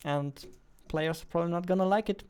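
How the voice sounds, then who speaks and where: Clear, higher-voiced young man, chatting playfully. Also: fairly quick, darkish tone. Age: 20s. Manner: playful, joking. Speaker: game developer streaming. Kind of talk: casual livestream chat while working. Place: indoors, small home room. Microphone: studio microphone on boom arm, headphones.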